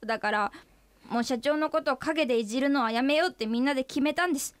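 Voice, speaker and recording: A woman's voice: high voice, fast talker, clear, resonant tone, no echo, lightly acted. An actress playing an earnest, forthright female office employee pressing her boss in a comic radio drama. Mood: earnest, emphatic.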